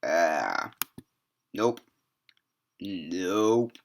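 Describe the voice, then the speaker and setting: A man's voice: low-pitched, animated, drawn-out words, gravelly. A seventeen-year-old young man giving a rambling, casual movie review, full of fillers, restarts and the odd swear word. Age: teens.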